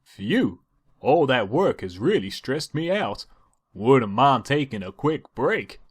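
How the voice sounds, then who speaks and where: A man's voice: mid-range voice, big pitch swings, slow pace, husky, heavily acted. A man voicing a hard-working young female farm pony with a folksy Southern American drawl, bossy and familiar. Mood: friendly, tired.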